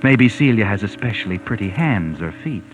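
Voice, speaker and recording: An actor playing a sardonic, all-knowing narrator of a 1940s radio mystery, mocking and ominous, with theatrical drawn-out phrasing. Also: male voice, on the low side, lively intonation, natural voice. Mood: sly, mocking. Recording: dull vintage radio recording, music behind. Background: music.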